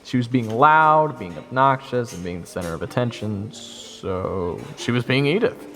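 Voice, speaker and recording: A man's voice: mid-range voice, lively intonation, normal speed, fairly bright tone, long reverb tail, faint music behind. A young man narrating his own story in first person, wry and self-deprecating. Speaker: narrator and lead.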